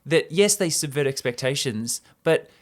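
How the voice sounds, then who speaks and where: A man with a voice pitched a bit above average co-hosts a casual film discussion. He speaks as a jokey storyteller, keeping the talk informal and conversational. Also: lively intonation, normal speed. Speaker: podcast co-host.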